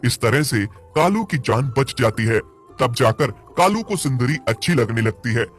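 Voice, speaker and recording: A man's voice: medium pitch, expressive, a little slow. A man narrating a scripted animal fable for a cartoon moral story. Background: music.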